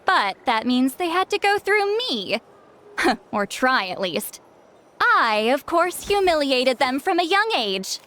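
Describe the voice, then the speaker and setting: A woman's voice: high-pitched, very animated, takes it slowly, bright, forceful tone, full character voice. A woman voicing a proud, prickly great shaman in a video game, haughty, defensive and theatrical. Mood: haughty, sheepish.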